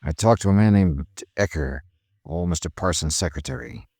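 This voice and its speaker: An actor playing a world-weary insurance investigator in a 1950s radio detective drama, grim and clipped with brooding pauses. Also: male voice, rumbling low, expressive, vocal fry, a little mellow, breathy edge, no echo, studio quality, exaggerated voice. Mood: shaken, wistful. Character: world-weary male insurance investigator, grimly narrating his case. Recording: vintage radio drama with music underneath.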